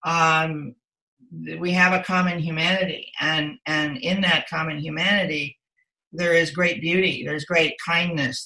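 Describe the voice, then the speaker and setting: A woman's voice: low voice, sharp tone, poor audio. An older woman giving reflective, earnest answers as a guest in a conversational interview, repeating words for emphasis.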